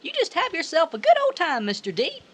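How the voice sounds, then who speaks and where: Elderly Southern widow, extremely high voice, wildly swooping, sweet, fussing, hospitable, comic radio drama. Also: female voice, huge pitch swings, fairly quick, background noise, heavily acted. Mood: sweet, curious. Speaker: radio actor. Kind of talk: comic old-time-style radio drama.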